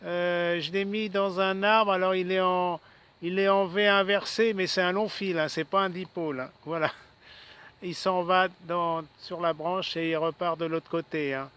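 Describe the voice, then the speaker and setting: A man's voice: high voice, fairly even intonation, moderate pace, a bit bright, clear, resonant tone. A man chatting casually as an amateur radio operator outdoors, often repeating himself and adding little tags. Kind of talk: casual ham radio exchange. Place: outdoors in a city park. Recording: outdoor recording with faint ambient noise.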